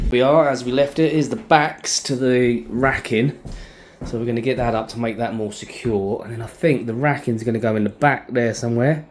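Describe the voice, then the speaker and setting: British man, chatty, noisy location sound. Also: medium pitch. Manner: informal, chatty, thinking aloud. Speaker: vlogger. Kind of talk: casual video diary, talking while showing the space.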